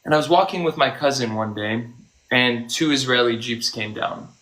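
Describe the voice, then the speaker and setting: A man's voice: mid-range voice, normal speed, a bit bright, rough recording. A man from the United States, a guest on a live interview, earnestly telling a personal story with some "um" and "uh" fillers. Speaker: guest, medical humanitarian.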